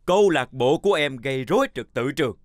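A man's voice: mid-range voice, lively intonation, fast, lightly acted. A man playing a strict but fair older chalk-stick schoolteacher in a children's cartoon, speaking formally and with authority. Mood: scolding, strict. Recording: background music under voice.

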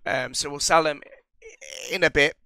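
A man's voice: a little high, moderate pace, sharp tone, no echo. A young man with a cold giving rambling, casual commentary over a football game, full of ums and restarts. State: recovering from a cold. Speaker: gaming channel host.

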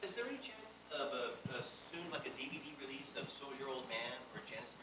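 A man's voice: mid-range voice, big pitch swings, fast talker, background noise. A man in the audience casually asking and commenting in a conference room. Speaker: audience member.

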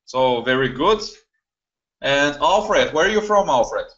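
A man's voice: fairly high pitch, animated, slow, fairly bright tone. A young man from Bulgaria, hosting a live webinar in a chatty way with many fillers, recorded on a computer mic in a small room. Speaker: webinar host. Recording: dull lo-fi webinar audio.

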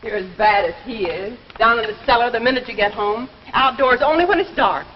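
A woman's voice: fairly high pitch, fairly quick, slight background noise, close to an everyday voice. An American actress playing a fretful, devoted and easily exasperated housewife and mother in a 1950s television drama. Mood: exasperated, teasing. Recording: muffled old TV soundtrack with music.

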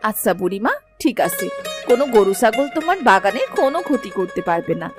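A woman's voice: medium pitch, lively intonation, fast, lightly acted. A woman voicing a neighbourly village housewife in a cartoon. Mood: friendly, coaxing. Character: neighbourly village wife asking for vegetables. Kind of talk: cartoon dialogue. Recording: clear music under the voice.

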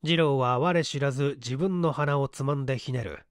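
A man reading a mystery story as scripted literary narration, in a measured storytelling manner. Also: mid-range voice, clean tone, no echo, studio quality. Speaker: audiobook reader, stage actor.

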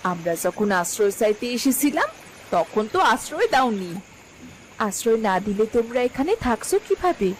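A woman's voice: mid-range voice, close to an everyday voice, poor audio. A woman playing emphatic, melodramatic village women in a cartoon folk tale. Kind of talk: Bengali cartoon fairy-tale voice acting. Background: background music.

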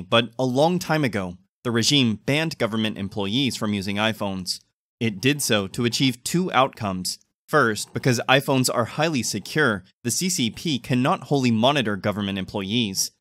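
A man's voice: on the low side, fairly bright tone, no room sound, clean studio sound. A man reading scripted news narration as a news narrator.

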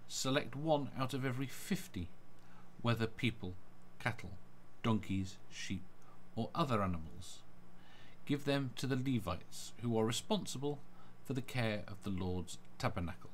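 A man's voice: medium pitch, lively intonation, normal speed, a little hiss or hum, low-quality recording. A man reading Bible passages aloud as scripted scripture narration.

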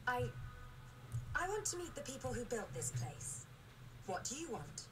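Earnest explorer, high lively voice. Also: female voice, measured pace, noisy background. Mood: earnest, wary. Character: earnest woman explorer on an alien planet. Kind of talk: video game voice acting.

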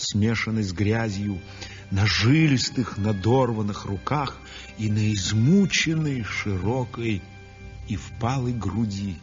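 An actor playing a brooding, exhausted painter in a 1960s radio drama monologue, grave, introspective and intense. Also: male voice, on the low side, lightly acted. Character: brooding, tormented, exhausted male realist painter. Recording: muffled 1960s radio recording.

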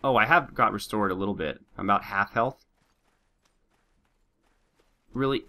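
A man's voice: lowish voice, moderate pace. A man doing joking gameplay commentary, slightly sick with a bit of a nasal sound and a sore throat. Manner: animated, joking, talks to his troops and viewers. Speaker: let's play host.

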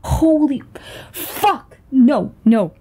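A commanding, foul-mouthed woman with a secret, played by a woman voice actor in a dramatic audio roleplay. Her voice is fairly high but dark and mellow. She speaks slowly and urgently, swearing as she goes. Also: lively intonation, full character voice. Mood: panicked, angry. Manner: swearing, urgent, breathy sighs and stammers. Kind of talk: dramatic ASMR roleplay acting.

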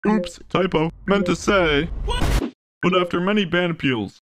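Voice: mid-range voice, a little slow. A performer doing comedic cartoon voice-over with playful, exaggerated reactions. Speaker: voice-over creator.

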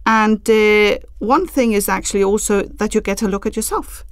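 A woman's voice: medium pitch, moderate pace, no echo. A middle-aged woman from southern Denmark, a podcast guest in a small studio, earnest and agreeable. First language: Danish. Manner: earnest, agreeable, many short affirmations. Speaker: podcast guest, vocational school staff. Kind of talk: casual podcast conversation. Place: small studio room, indoors. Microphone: desk microphone on a stand.

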